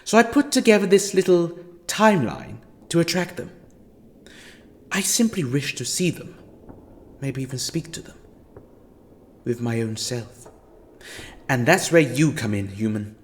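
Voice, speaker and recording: A man's voice: medium pitch, big pitch swings, unhurried, long pauses. An actor playing a scheming, theatrical male villain in a fan audio drama, talking to a captive in a grandiose, mock-apologetic monologue. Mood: weary, candid.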